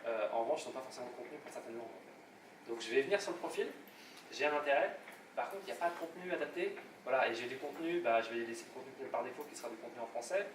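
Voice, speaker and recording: Male workshop speaker, quick, restart-prone delivery; distant, roomy, noisy recording. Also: medium pitch, lively intonation. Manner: rapid, hesitant, many restarts. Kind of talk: live workshop talk. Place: meeting room at a club. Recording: echoey room, distant mic, noisy.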